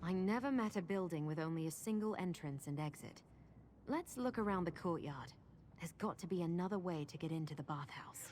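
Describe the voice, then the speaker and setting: A confident, resourceful adventuring woman voiced by an actress guides the player through a fantasy game. Her voice sits on the low side, and she explains each step in a practical, matter-of-fact way. Game ambience runs as a noise behind her. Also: expressive, fairly quick, lightly acted. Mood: determined. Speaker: companion guide character in a fantasy video game. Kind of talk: scripted video game dialogue.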